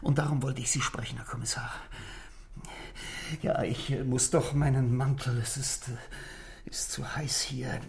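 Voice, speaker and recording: A man's voice: mid-range voice, fairly even intonation, unhurried, creaky. A man acting an anxious, troubled husband in a 1960s German radio play, hesitant and apologetic, often dropping into whispery undertones. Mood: tense, insistent. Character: troubled married man, anxious, self-taught, convinced he is being poisoned. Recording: vintage 1960s radio drama recording.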